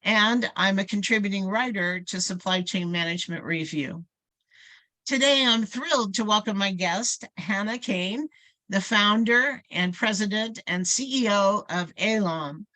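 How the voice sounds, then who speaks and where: A woman's voice: medium pitch, unhurried, pushed and bright, low-quality recording. A woman hosting an interview podcast, introducing and questioning a guest. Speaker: podcast host.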